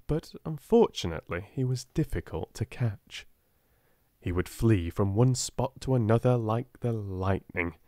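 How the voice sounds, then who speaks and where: A man with a British accent reading a storybook aloud in a soft-spoken, gentle, expressive way. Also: lowish voice, dry recording, clean studio sound. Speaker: audiobook narrator.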